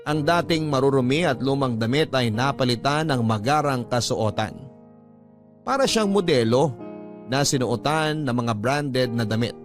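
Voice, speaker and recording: An earnest, sentimental man played by an actor narrating his own love story in a radio drama. The voice has a medium pitch and sits over clearly audible guitar music. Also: animated, moderate pace, close to an everyday voice. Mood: admiring, smitten. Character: heartfelt male letter sender recounting his lost love. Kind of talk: scripted dramatic first-person narration.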